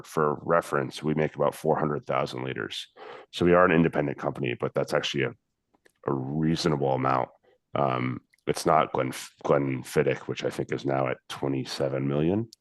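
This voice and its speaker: American man, extremely low voice, dry close sound, loose halting delivery full of ums. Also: dry recording. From United States. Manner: relaxed, halting, many fillers and restarts. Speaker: distillery representative. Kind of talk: casual talk on a video call tasting.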